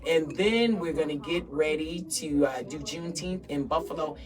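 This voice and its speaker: A woman's voice: sits low, moderate pace, distant mic. A woman hosting a round table radio talk in a studio, upbeat and promoting the station's events. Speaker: radio talk show host.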